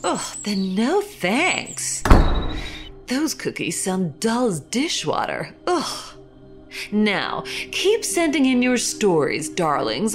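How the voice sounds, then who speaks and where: A woman playing a macabre, vain, darkly playful horror hostess with a theatrical, arch drawl in a podcast skit. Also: mid-range voice. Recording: music behind the voice.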